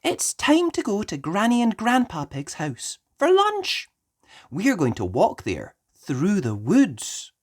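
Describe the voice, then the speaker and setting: Storybook pig mum, female reader acting: polite, sing-song, raised pitch, very animated. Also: slow, breathy edge, heavily acted. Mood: calling out. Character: bright, polite mother pig, Mummy Pig. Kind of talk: read-aloud children's storybook voice.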